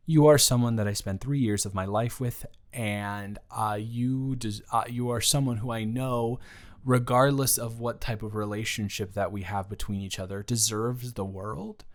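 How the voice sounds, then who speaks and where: A man's voice: mid-range voice, close to an everyday voice. A man playing an earnest, flustered ex-boyfriend in an intimate improvised audio roleplay, stammering and trailing off as he talks. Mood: tender, earnest.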